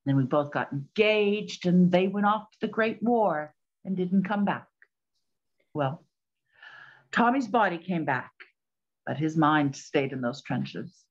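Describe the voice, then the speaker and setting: A woman's voice: lowish voice, animated, slow pace, long pauses. A woman playing a proud, matter-of-fact lady detective of the 1920s in a radio-style play. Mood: resigned. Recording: dull, muffled lo-fi sound.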